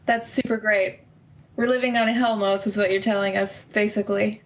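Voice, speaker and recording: A woman's voice: mid-range voice, steady tone, fairly quick, rough recording. A woman casually role-playing in a tabletop game, questioning and sarcastic. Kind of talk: casual in-character roleplay chat.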